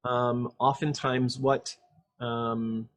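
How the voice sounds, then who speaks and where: A man's voice: mid-range voice, flat delivery, drawn-out words. A man coaching on English pronunciation over a video call, explaining patiently and demonstrating sounds. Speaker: English speech coach being interviewed. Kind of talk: casual video call interview.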